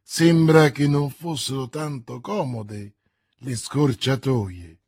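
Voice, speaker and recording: Man doing sneering shopkeeper, middle-aged, slow mocking drawl, husky, dry. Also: mid-range voice, darkish tone, no room sound.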